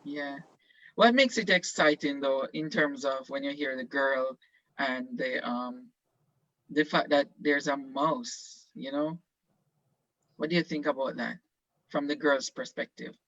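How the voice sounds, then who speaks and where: Brief: male teacher, bright and high-pitched, halting encouraging questions, dull dry video call sound. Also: no room sound. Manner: halting and encouraging, many ums, slow prompting questions. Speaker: teacher leading a class discussion. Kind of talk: online classroom discussion.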